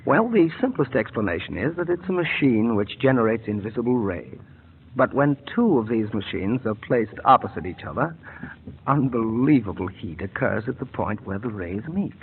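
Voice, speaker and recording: A cold-blooded mad scientist who savors his threats with soft laughter. The delivery is old-time radio drama, mid-pitched and measured. The sound is thin and phone-like with a faint hiss under it. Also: big pitch swings. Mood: gloating. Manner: suave, chuckling menace. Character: cold, mocking male mad scientist villain. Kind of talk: 1940s radio acting.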